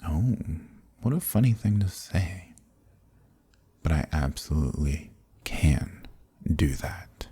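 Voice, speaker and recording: Man acting as an ancient archdemon, extremely low voice, husky and breathy, smug, teasing, dominant, dark fantasy audio roleplay, close dry sound. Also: expressive, takes it slowly, no room sound, exaggerated voice. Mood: amused, menacing. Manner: teasing, condescending, leisurely villainous politeness.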